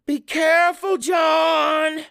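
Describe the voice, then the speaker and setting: Actress as a shrill, bitter Cajun wife. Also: female voice, little pitch movement, very slow, gravelly, a bit bright, no room sound, studio quality, heavily acted. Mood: defiant. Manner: confrontational, biting, sarcastic. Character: bitter, fed-up Cajun wife and mother standing her ground. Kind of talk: audiobook drama dialogue.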